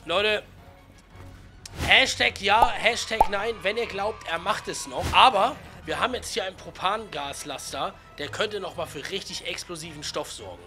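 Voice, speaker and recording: A man in his twenties with a cold, giving chatty, slangy, excited commentary on a video game over faint music. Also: high-pitched. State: has a cold. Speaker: gaming YouTuber.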